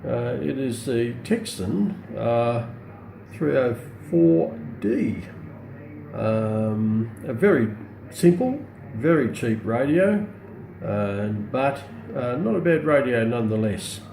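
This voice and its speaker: Middle-aged Australian male, hobbyist reviewer, soft-edged warm tone, halting off-the-cuff delivery, echoey room. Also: mid-range voice, sing-song, gravelly. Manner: hesitant, unscripted, pausing often. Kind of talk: casual product demonstration. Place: indoors on a hard countertop, small room. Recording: roomy echo, faint background noise.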